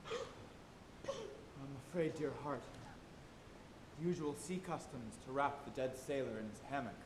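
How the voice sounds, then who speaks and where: Man acting kindly pious sailor, earnest, formal phrasing, theatre drama, echoey stage, noticeable background noise and rumble. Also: animated, unhurried, lightly acted. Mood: apologetic, consoling. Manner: gentle, earnest, formal old-fashioned phrasing. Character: kindly, devout sailor, tender friend to a dying shipmate. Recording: noisy, echoey live auditorium recording.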